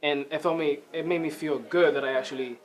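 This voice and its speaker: A man's voice: fairly high pitch, steady tone, a little fast. Young man from the Dominican Republic, echoey. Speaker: youth jobs program participant. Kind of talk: interview.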